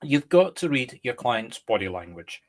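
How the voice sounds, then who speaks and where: A Scottish man, a medium and co-host, chatting casually and explaining through lively examples. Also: medium pitch, normal speed. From Scotland. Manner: animated, explains through examples and acted-out quotes. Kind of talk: casual conversation.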